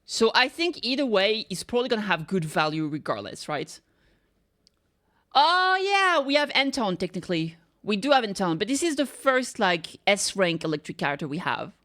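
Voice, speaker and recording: A woman's voice: mid-range voice, big pitch swings, moderate pace, fairly bright tone. A woman streamer chatting casually, rambling and thinking aloud as she reacts to games.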